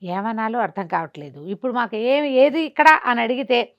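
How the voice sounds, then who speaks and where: Female storyteller, Telugu accent, somewhat high pitch. Conversational folk-tale delivery, expressive with character dialogue. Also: fairly quick, highs cut off.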